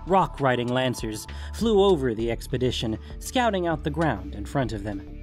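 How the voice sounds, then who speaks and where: A man narrates a fantasy story in a scripted audiobook style. His voice sits at a medium pitch, and music plays behind the narration.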